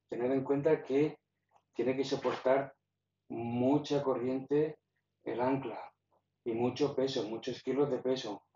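A man's voice: medium pitch, dark tone, recorded from a distance. Man explaining gear in an echoey garage. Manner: plain, stop-start explaining as he handles gear. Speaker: kayak fishing hobbyist showing his gear. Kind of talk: casual how-to explanation while working. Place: garage with roll-up door. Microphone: phone camera held in hand. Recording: echoey garage, phone mic, dull and noisy.

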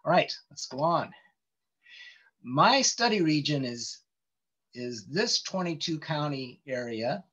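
A man's voice: a little high, lively intonation, takes it slowly, pauses often, bright, forceful tone, husky. A man giving an informal online lecture over a video call, explaining things with many ums and restarts. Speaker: presenter giving a webinar talk. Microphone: computer mic on a video call. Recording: dull lo-fi call audio.

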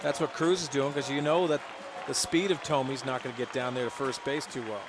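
A man's voice: medium pitch, steady tone, fairly quick. An American man giving live baseball color commentary on radio, explaining the play in a conversational way. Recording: loud stadium crowd noise behind. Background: ballpark crowd and outdoor stadium ambience.

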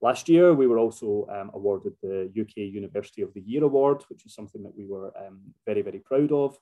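Glaswegian man giving a mellow webinar talk. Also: on the low side, lively intonation, fast talker, muffled recording. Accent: Glasgow Scottish. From Scotland. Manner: hesitant, many fillers and restarts. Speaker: university international recruitment officer. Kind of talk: webinar presentation. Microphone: computer mic on a video call.

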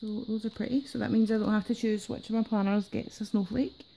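A woman talking while she is sick, her voice gravelly and very dark. She chats cheerfully through an unboxing of craft items at a table. A faint hiss of background noise sits under her voice. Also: mid-range voice, steady tone, brisk. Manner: chatty, enthusiastic about items. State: sick, voice affected. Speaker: planner unboxing vlogger. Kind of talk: casual unboxing chat. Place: indoors at a table. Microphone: camera filming her hands from above.

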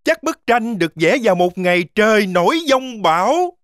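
A woman's voice: mid-range voice, sing-song, moderate pace, lightly acted. A woman voicing a wide-eyed, enthusiastic young goat in a children's cartoon. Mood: amazed. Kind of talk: cartoon fairy tale dub.

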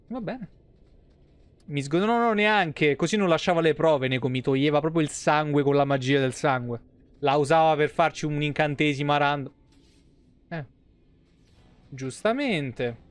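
A man's voice: fairly high pitch, normal speed, long pauses, dry recording. A man around his thirties, giving casual, slangy, sweary live commentary on a game. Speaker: game streamer. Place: indoor streaming room at home.

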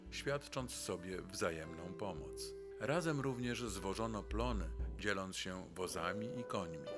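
A man's voice: low voice, gravelly. A man reading scripted narration as the narrator of a documentary. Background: instrumental music.

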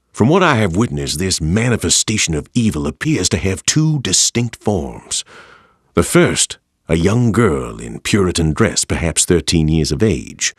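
A man voicing a grave, bookish narrator reading a horror game's monster journal in measured, formal, ominous phrasing. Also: low-pitched, husky, no room sound, studio quality, full character voice.

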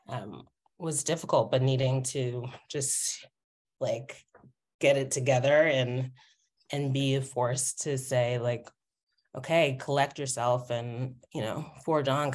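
A woman speaking casually and reflectively on a video call, halting as she searches for words. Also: extremely low pitch, darkish tone. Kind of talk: casual reflective panel talk. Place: home room, on a video call.

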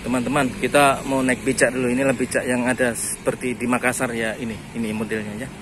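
A man's voice: medium pitch, little pitch movement, fast. A man in his thirties filming a casual travel vlog on a phone held at arm's length, talking chattily and hesitantly to his viewers while riding a pedicab outdoors. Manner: chatty, hesitant with fillers, addresses viewers as friends. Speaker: travel vlogger. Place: outdoors on a busy city street, riding a pedicab. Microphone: phone camera held at arm's length. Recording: loud outdoor traffic noise. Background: loud street traffic.

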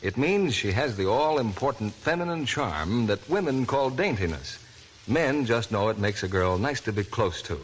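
A male announcer reading a 1940s radio commercial in a smooth, persuasive way. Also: mid-range voice, expressive, normal speed. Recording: old noisy radio broadcast.

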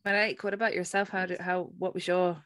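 A woman's voice: fairly low pitch, little pitch movement, fast talker, fairly bright tone. A middle-aged woman hosting a podcast, casually moderating a chat between guests on a video call. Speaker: podcast host.

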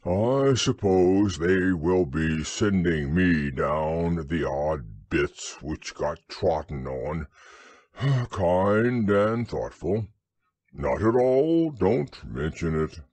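A man voicing a gloomy, self-pitying male donkey who drawls slowly and sighs. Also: low-pitched, lively intonation, a little mellow, slightly rough, no room sound, pitched below the performer's own voice, heavily acted. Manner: slow, mournful, sighing drawl.

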